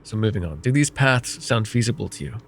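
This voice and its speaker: A man playing a gravelly, vain mage counselor. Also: fairly low pitch, slight background noise. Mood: calm reassuring. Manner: calm, measured, gently teasing. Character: vain, wry male mage counselor, middle-aged. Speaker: voice actor. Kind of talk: ASMR roleplay.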